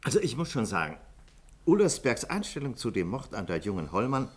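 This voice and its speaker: An actor playing a courteous, authoritative senior London police chief in a 1950s German radio play. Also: male voice, medium pitch, big pitch swings, a little fast, faint music behind, lightly acted. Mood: musing, assured.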